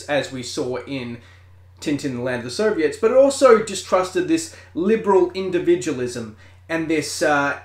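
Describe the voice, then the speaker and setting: Animated male podcast host. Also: on the high side. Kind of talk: scripted narration then casual reading of listener comments.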